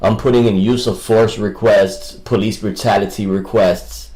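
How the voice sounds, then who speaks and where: A man's voice: lowish voice, steady tone, moderate pace, mellow. A man rambling casually as a livestream host, with a combative, mocking and coarse way of talking.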